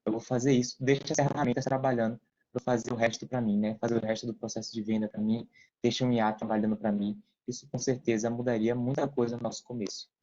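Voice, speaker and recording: A man's voice: mid-range voice, level tone, fast talker, mellow. A Brazilian man giving casual, explanatory advice as an interview guest on a muffled online call. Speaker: interview guest, digital entrepreneur. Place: remote video call.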